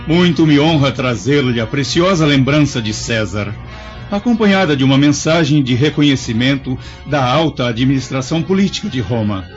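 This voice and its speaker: An actor with a Brazilian accent playing a courteous Roman imperial administrator in a radio drama, making a ceremonious, formal speech. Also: male voice, medium pitch, close to an everyday voice. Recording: dull lo-fi audio with music.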